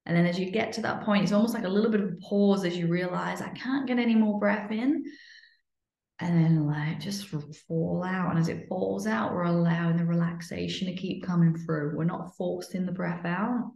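A woman leading a guided breathing meditation in a soothing, instructional way, sometimes dropping to a breathy near-whisper. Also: fairly low pitch, moderate pace, a little mellow. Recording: muffled, lo-fi, roomy echo.

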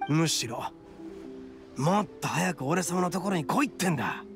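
A man voicing a boisterous, big-hearted young oni gang boss in a Japanese game, cocky and loyal, with swaggering rough speech. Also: fairly high pitch, expressive, moderate pace, music in the background. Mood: earnest, proud. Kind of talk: Japanese anime game voice acting.

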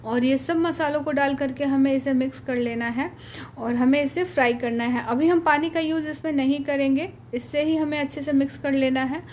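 A high, fairly even-toned woman talks patiently through each cooking step, often pausing with a soft "uh" as she goes. Her home voice-over carries a faint hiss of background noise underneath. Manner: patient, explanatory, frequent "uh" pauses. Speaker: cooking channel host.

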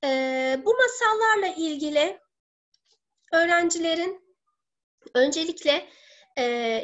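A woman in her thirties, a teacher presenting in an online seminar from home, explaining step by step in a halting way. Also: high-pitched, a bit bright, muffled recording. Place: living room at home. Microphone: laptop or webcam video call.

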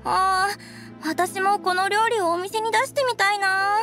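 A woman playing a friendly, enthusiastic young restaurant keeper in an anime game, chirpy and expressive. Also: very high-pitched, a little slow, over music. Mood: friendly, curious. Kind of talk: anime game character acting.

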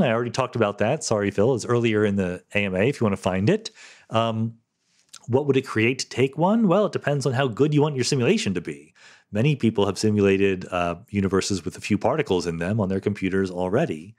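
Middle-aged male podcast host, lowish voice, solo Q&A, explains patiently with "um" and "right?" checks. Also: lively intonation, fast, a little mellow. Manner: thoughtful, explanatory, sprinkles "um" and "right?". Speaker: podcast host answering listener questions. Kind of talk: solo question-and-answer monologue.

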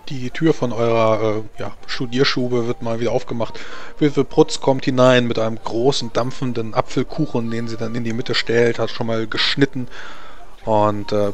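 Guy game mastering a tabletop rpg, kinda halting narration, medium pitch. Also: little pitch movement.

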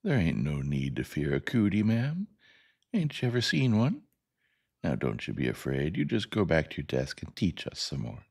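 A man's voice: very deep voice, huge pitch swings, normal speed, vocal fry, husky, heavily acted. A man voicing a small, gallant schoolboy in an audiobook story, with an earnest, protective, folksy manner. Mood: soothing. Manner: earnest, gentle, folksy rural speech.

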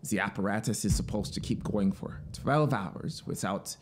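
A man's voice: lowish voice, normal speed. A man voicing an eager, talkative military officer in a dramatic storybook reading, insistent and explanatory. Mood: earnest, insistent. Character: eager, talkative male military officer, devoted to his machine. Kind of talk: dramatic audiobook character reading.

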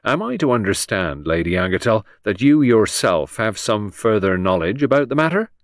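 A man voicing a stiff, grim police inspector who speaks slowly and deliberately. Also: on the low side, wildly swooping pitch, no room sound, studio quality. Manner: stiff, measured.

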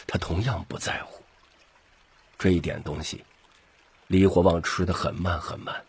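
一名男性有声书播讲者，以戏剧化的方式照稿讲述故事。. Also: male voice, sits low, breathy edge. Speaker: audiobook narrator. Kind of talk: scripted dramatic story narration.

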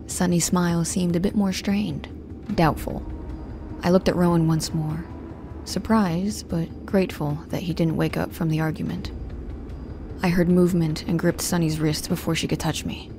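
A woman's voice: lowish voice, fairly even intonation, moderate pace, over music, natural voice. A woman playing a guarded, protective young woman, narrating an audio drama in the first person in a restrained, brooding, stern manner. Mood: weary, tense.

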